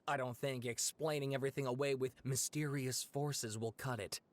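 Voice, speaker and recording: A man's voice: medium pitch, fairly even intonation, normal speed, lightly acted. An actor playing an earnest, fretful young male student in a video game, speaking hesitantly. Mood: pondering. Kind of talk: English video game voice acting.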